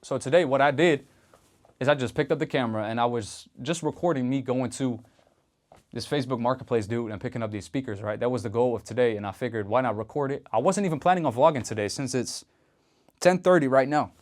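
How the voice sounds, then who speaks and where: A man's voice: medium pitch, fast. A young Dutch man speaking English with a Dutch accent, rambling in a casual, slangy vlog to camera. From Netherlands. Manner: rambling and laid-back, fillers, restarts, slangy. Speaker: vlogger.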